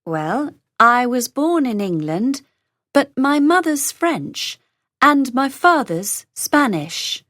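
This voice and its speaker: A woman reading a scripted conversation for English learners, saying each line slowly and carefully, then repeating it. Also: high voice, very animated, a bit bright, no echo, studio quality. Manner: slow, carefully enunciated, lines read three times over. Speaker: dialogue reader for English learners.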